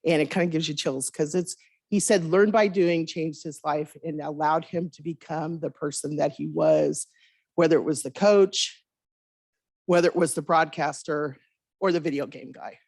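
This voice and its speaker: A woman's voice: deep voice, fairly even intonation, moderate pace, darkish tone, husky, no echo. A middle-aged woman speaking informally and earnestly to a conference, in a conversational way. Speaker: industry speaker at a college conference.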